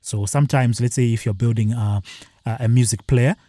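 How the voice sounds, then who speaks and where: A man presenting a screen-recorded software tutorial, explaining each step plainly with the odd filler. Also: mid-range voice, fairly even intonation, fairly quick, dry recording.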